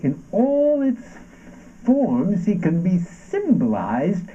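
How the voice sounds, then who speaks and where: An actor playing a fervent, accusing orator in a surreal avant-garde radio play, declaiming haltingly with drawn-out words. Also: male voice, high voice, huge pitch swings, dark tone, husky, exaggerated voice. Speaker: stage actor. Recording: dull lo-fi stage performance recording.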